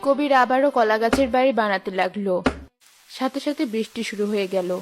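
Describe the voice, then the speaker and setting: A woman's voice: on the high side, brisk, music in the background. A woman reading scripted narration for a story as its narrator.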